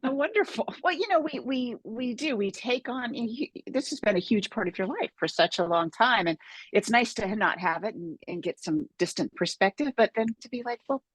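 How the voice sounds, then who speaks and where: A woman's voice: on the high side, animated, moderate pace, husky, no room sound, rough recording. A woman hosting a casual interview, affirming her guest and often restarting phrases. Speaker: interviewer host.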